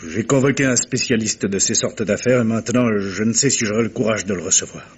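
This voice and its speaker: A man acting an anguished, stubborn gentleman with solemn, declamatory delivery in a 1950s French radio mystery. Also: lowish voice, a little fast, slightly rough. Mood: tormented. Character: anguished, stubborn wealthy gentleman confiding a dark secret. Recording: noisy vintage radio recording.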